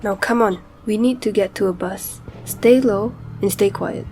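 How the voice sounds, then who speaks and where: A woman playing a protective, steady mother fleeing a war in a radio drama, instructing and reassuring. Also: mid-range voice, unhurried, soft background music, lightly acted. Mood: urgent, cautious.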